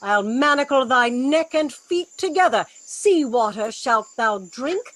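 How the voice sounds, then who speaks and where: A woman playing a stern, commanding sorceress mother in a Shakespearean audio drama, theatrical and sharp in rebuke. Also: high voice, lively intonation, measured pace, poor audio. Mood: stern, scolding.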